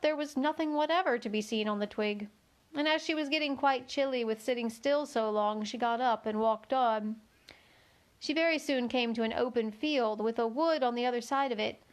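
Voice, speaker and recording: A woman narrating a children's book aloud as a storyteller in a home read-along. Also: a little high.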